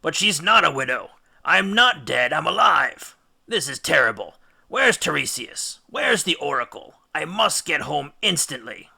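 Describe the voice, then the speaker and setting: Man playing an urgent, forceful storybook hero. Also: fairly high pitch, sing-song, measured pace, creaky, slightly rough, voice pushed up, exaggerated voice. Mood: alarmed. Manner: emphatic, insistent. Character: urgent, distressed Greek hero, living man.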